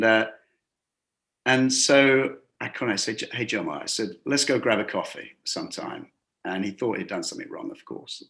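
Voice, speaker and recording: A man's voice: lowish voice, vocal fry, fairly bright tone. A man as an interview guest, a hospitality business coach, telling workplace stories in a rambling conversational way, with many restarts and acted-out quotes. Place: lives and works in a Gulf city.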